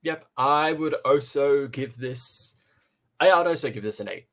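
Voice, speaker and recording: A man's voice: medium pitch, lively intonation, a little slow, a bit bright. A man co-hosting a casual fan review, talking in an enthusiastic, joking way and often repeating words as he goes. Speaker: podcast co-host and reviewer.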